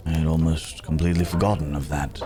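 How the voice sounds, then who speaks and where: A weary, philosophical man cursed as a werewolf, played by a male actor speaks in a very low voice. He is slow and hesitant, choosing formal, old-fashioned words with gentle courtesy. The style is intimate ASMR roleplay, with a clear murmur of people behind him. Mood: musing. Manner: musing, hesitant, old-fashioned formal speech with long pauses. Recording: background crowd murmur.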